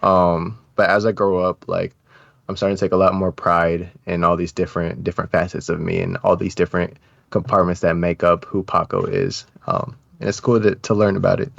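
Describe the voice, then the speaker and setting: A young American guy on a podcast, low-voiced and reflective, chatting in slang, close and dry on a slightly muffled mic. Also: little pitch movement, a little fast, creaky, a bit bright, no room sound. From United States. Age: young adult. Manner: informal, slangy, thoughtful. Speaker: interview guest, brand founder. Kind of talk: casual reflective interview talk. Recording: slightly dull, dry audio.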